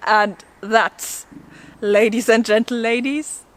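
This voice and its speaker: A woman's voice: a little high, fairly even intonation, slow. A woman hosting an educational video, reading scripted narration with casual asides in a playful, lightly theatrical way. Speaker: video host presenting an educational explainer. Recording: noticeable background noise.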